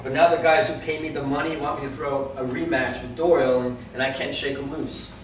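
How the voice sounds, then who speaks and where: A man's voice: medium pitch, fairly even intonation, a little fast, lightly acted. A man playing a troubled, defensive young boxer in a staged play reading. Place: indoor room, live staged reading. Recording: echoey room, distant mic, faint noise.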